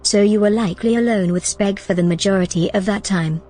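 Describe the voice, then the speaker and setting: An actress voicing a calm, precise station assistant woman in an audio drama, speaking in an even, measured way. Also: medium pitch, lightly acted.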